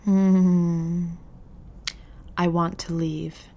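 An actress playing a proud, bitter captive wife in an audio drama, speaking in a deliberate, defiant manner. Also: female voice, lowish voice, flat delivery, warm tone, a little hiss or hum. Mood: pleading.

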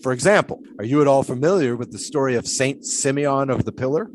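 A man's voice: medium pitch, a little fast, a little hiss or hum, close to an everyday voice. An actor playing a grandiloquent, philosophical 1920s showman in an audio drama, musing in wordy, theatrical speeches. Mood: probing, insistent.

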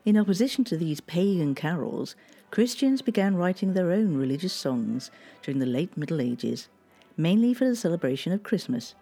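Low-voiced woman narrating history. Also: big pitch swings, dark tone, faint music behind. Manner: measured storytelling delivery. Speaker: history podcast host. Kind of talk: scripted podcast narration.

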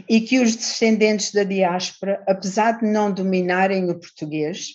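A middle-aged woman from the Azores, raised in California, reading a prepared conference paper in a measured, reflective way. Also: fairly low pitch, a little mellow. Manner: measured, reflective, bilingual delivery. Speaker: conference panel speaker. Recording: dull lo-fi audio.

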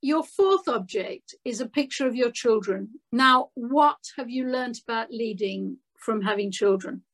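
A woman's voice: fairly high pitch, unhurried, a bit bright. A woman hosting an interview, asking questions in a chatty way that keeps interrupting itself. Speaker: podcast host.

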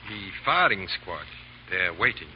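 An actor playing a cold, smug villain, a French Revolutionary agent, in formal, silkily polite 1930s radio acting. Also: male voice, low-pitched, sing-song, measured pace. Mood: cold, gloating. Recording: muffled, noisy 1930s radio broadcast.

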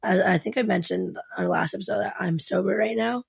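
A young woman hosts a podcast in a loose, excitable way, swearing casually as she talks. Her voice is raspy and hoarse, thick with gravelly fry and a husky edge. It is recorded close and dry. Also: mid-range voice, fairly quick, dry recording. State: hoarse, partly lost voice. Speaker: podcast host.

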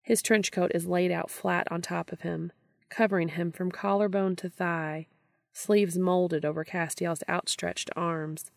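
A woman's voice: fairly low pitch, vocal fry, dry recording, clean studio sound. A woman narrating a scripted piece of fiction as a story reader.

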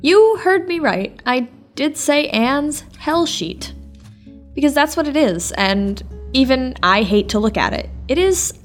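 A woman's voice: high voice, sing-song, measured pace. Candid woman podcaster over music. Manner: candid, self-deprecating, playfully dramatic. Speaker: podcast co-host and production organizer. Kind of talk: conversational podcast narration.

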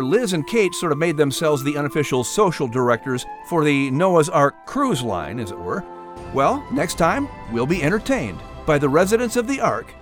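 A man hosting and narrating a story podcast in an expressive, theatrical style. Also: fairly high pitch. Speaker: podcast host and audiobook narrator. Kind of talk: dramatic narration and lighthearted scripted hosting.

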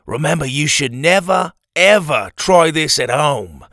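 Man putting on a showy, boastful male teacher, pitched up. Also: lively intonation, a little slow, bright, forceful tone, no echo, soft background music, full character voice. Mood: blustering.

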